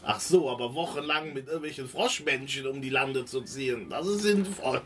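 A man's voice: mid-range voice, moderate pace, a bit bright. A man with a German accent talking in character in a casual tabletop role-playing game. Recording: distant from the microphone.

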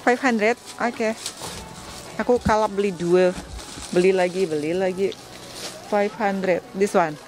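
An Indonesian-accented woman in her thirties talks to her handheld camera in a clothing mall. She is casual and playful, full of slang and little exclamations, and drops English words into her chat. Her voice sits at a middling pitch. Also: takes it slowly, long pauses, poor audio. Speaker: vlogger. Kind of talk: casual shopping vlog chat. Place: indoor clothing shops in a busy mall.

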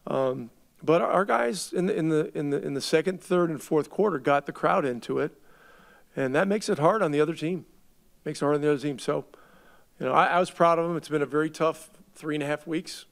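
A middle-aged man, a head coach, answering reporters at a postgame press conference in a measured way, often restarting his words as he thinks. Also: a little high, creaky. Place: arena press room. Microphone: table mic.

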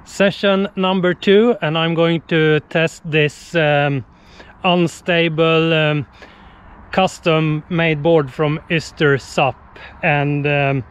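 Slow-talking Swedish man outdoors. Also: a little high, steady tone, clean tone. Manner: slow, cheerful, plain non-native English. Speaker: vlogger host. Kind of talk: casual vlog to camera. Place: outdoors by a lake. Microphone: action camera held at arm's length. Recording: dull outdoor camera audio.

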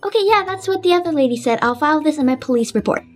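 A woman's voice: high-pitched, expressive, racing, faint music behind. A woman playing a matter-of-fact female police officer in an improvised video game roleplay. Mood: businesslike. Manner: matter-of-fact, procedural questioning. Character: businesslike female police officer taking robbery reports.

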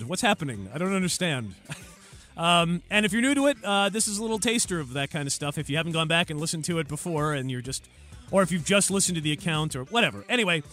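A man's voice: on the high side, sharp tone. A man hosting a comedy podcast, talking casually and solo in a wry, self-deprecating, rambling way.

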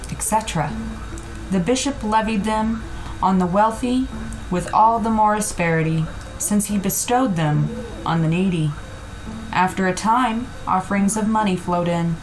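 A woman narrating a literary audiobook in a measured, deliberate way with frequent pauses. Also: medium pitch, low-quality recording. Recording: music behind the voice.

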